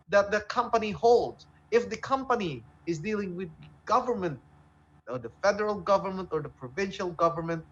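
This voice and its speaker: A man hosting a current affairs discussion, speaking haltingly and repeating words as he goes. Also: sits high, a bit bright, lo-fi sound. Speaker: talk show host. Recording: music bed behind the voice. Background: music.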